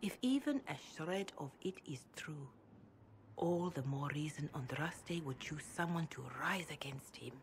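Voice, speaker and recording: A woman playing an older, pious holy woman in a video game, speaking gravely and gently in measured, formal phrases. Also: low-pitched, animated, a little hiss or hum. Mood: solemn, grave. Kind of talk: dramatic video game dialogue.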